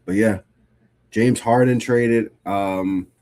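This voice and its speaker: Stuffy, low-voiced man talking hoops. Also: steady tone, takes it slowly, a little mellow. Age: 30s. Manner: loose and conversational, frequent restarts. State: stuffy from a cold. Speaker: podcast host. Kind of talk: casual live-stream sports talk, reading chat.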